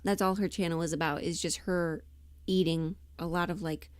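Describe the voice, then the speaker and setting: A woman's voice: on the low side, steady tone, normal speed, dry recording. A woman chatting casually on a livestream, scattered and giggly, thinking aloud as she goes, close to the mic. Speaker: livestream host and audio performer.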